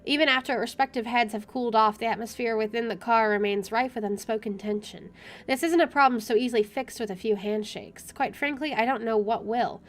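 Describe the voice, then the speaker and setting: A woman reading a visual novel aloud as a gamer, expressive and opinionated in her delivery. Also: medium pitch. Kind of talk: reading game narration aloud with casual commentary.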